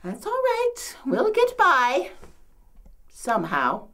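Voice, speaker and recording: A woman's voice: high voice, huge pitch swings, slow, fairly bright tone, voice pushed up, full character voice. A woman playing a complaining, martyred old mother-in-law from biblical times in a comedic monologue, with a New York accent and theatrical delivery. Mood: excited kvetching. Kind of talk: comedic dramatic monologue, performed.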